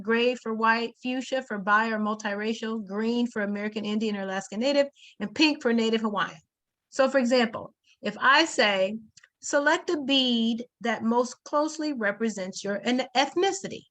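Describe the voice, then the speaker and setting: A woman leading a group workshop over a video call in a slightly high voice. She sounds firm and directive. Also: sharp tone. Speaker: workshop facilitator.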